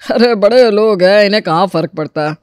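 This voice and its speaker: A man voicing a cautious, worried elderly father in a Hindi animated story, wary and grumbling. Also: high-pitched, a little fast, no echo, clean studio sound, close to an everyday voice. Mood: matter-of-fact.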